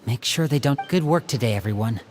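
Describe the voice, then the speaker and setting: A man voicing a composed, protective young leader in an anime game, speaking in a measured, serious, explanatory way over faint ambience. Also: medium pitch, animated, a little mellow, faint music behind, natural voice. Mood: serious, steady.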